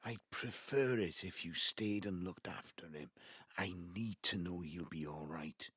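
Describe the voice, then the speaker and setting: An older man playing a guilty, evasive ageing father in an audio drama, pleading in a husky, breathy voice. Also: deep voice, measured pace, a bit bright, no room sound, clean studio sound, heavily acted. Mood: tender, pleading. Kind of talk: naturalistic audio drama acting.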